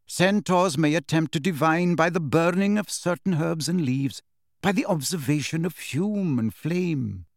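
A man voicing a calm, stately male centaur teacher who speaks in a measured, aloof way. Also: on the high side, no echo, clean studio sound. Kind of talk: audiobook character voice.

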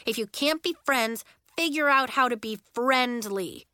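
A woman's voice: a little high, unhurried, pushed and bright, no echo. A woman voicing an exasperated, bossy schoolboy who gives firm commands, in an audiobook children's story. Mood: exasperated yelling. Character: exasperated, bossy young schoolboy taking charge.

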